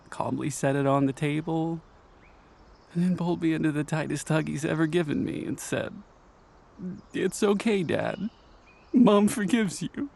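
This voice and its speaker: Husky, creaky middle-aged father played by an actor. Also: fairly high pitch, dark tone. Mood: tearful. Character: tearful middle-aged father grieving his grown son's departure. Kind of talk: emotional audio drama dialogue.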